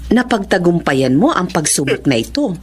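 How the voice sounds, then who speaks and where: A woman playing a caring, composed psychiatrist and mother in a Filipino radio drama, measured and reassuring, slipping into English. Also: lowish voice, sing-song, slight background noise. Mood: calm, reassuring.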